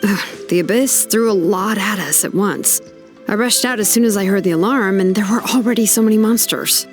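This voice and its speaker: A woman's voice: medium pitch, normal speed, soft background music, natural voice. A woman playing a direct, dependable young female fighter in a video game. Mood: practical, relieved. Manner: direct, practical. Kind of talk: video game character acting.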